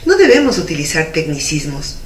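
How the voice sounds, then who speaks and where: A woman's voice: deep voice, big pitch swings, fairly quick. A woman giving a methodical, didactic explanation in an instructional style. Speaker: radio drama producer giving a tutorial. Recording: roomy, distant mic, faint noise.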